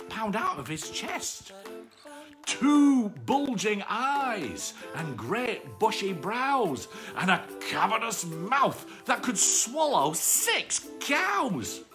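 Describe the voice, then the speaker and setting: A woman reading a children's bedtime story aloud with dramatic, drawn-out words. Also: medium pitch.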